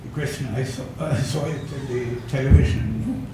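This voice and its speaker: An older man giving a conference talk, hesitant with many fillers and soft chuckles. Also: medium pitch, a little fast. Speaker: academic speaker. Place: lecture hall. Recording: echoey hall, distant mic, noisy.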